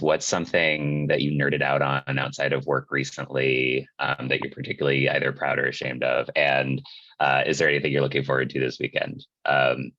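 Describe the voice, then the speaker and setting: A man moderating a panel over a video call, with a measured, courteous manner. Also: extremely low pitch, fairly bright tone, no echo. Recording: dull dry video call audio.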